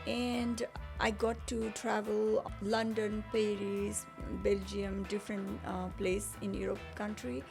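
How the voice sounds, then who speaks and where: A woman from Nepal telling her story in a relaxed interview chat, earnest and searching for words as she goes. Her voice has a gravelly, creaky edge. Clear music plays behind her. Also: mid-range voice, takes it slowly, hardly any pauses. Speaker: guest, spa owner.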